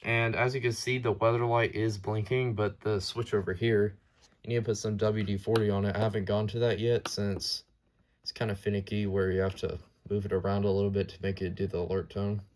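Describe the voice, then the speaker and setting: A man's voice: on the low side, flat delivery, fairly quick, a little mellow, slight background noise. A man presenting his hobby collection in a casual, unscripted show-and-tell, with a matter-of-fact, informal delivery.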